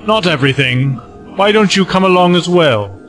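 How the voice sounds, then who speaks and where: A man's voice: sits high, lively intonation, normal speed, a bit bright, faint music behind. A man playing a smug, scheming villain who commands monsters, suave and gloating, in an audio drama. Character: smug, scheming male villain, self-styled master of monsters.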